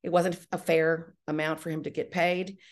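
A woman's voice: sits low, flat delivery, normal speed. A woman giving conversational interview answers as a guest writer-director, explaining step by step and often checking in with the listener. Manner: explanatory, often checking with "right?" and "you know".

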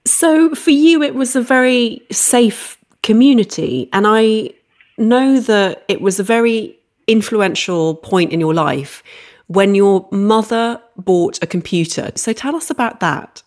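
A woman hosting a podcast, asking her guest friendly questions in a gentle, encouraging way. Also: fairly high pitch, animated, unhurried. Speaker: podcast host.

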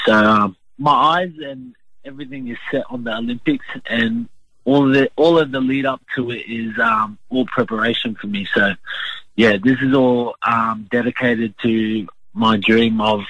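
A young man with an Australian accent giving a sports interview as a boxer in a halting, plain-spoken way. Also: mid-range voice, fairly bright tone, dry recording, lo-fi sound. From Brisbane, Queensland, Australia.

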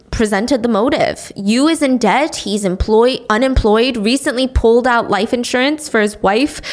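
A young woman hosting a podcast, telling a true-crime story in a casual, animated way and acting out the quotes. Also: a little high. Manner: chatty, animated, acts out quotes with shifts in tone. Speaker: podcast host.

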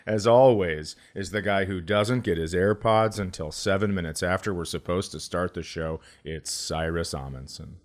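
A man's voice: low voice, moderate pace, few pauses. A man hosting a podcast in casual comedic chat, sarcastic and given to swearing. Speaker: podcast host.

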